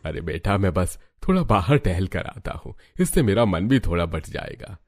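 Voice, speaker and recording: An actor playing a kindly, self-sacrificing older village father-in-law in a cartoon, with a gentle, reassuring manner. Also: low voice, very animated, moderate pace, noisy background.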